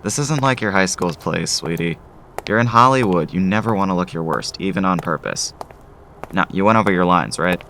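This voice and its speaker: A man's voice: low voice, lively intonation, normal speed, pushed and bright, slight background noise, full character voice. A man playing a nervous, proud showbiz father in an audio drama, fussing and gushing eagerly.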